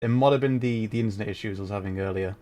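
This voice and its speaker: A man's voice: fairly low pitch, rapid-fire, mellow. Man casually livestreaming a game. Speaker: livestreamer playing a video game. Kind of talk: casual live game commentary and chat with viewers.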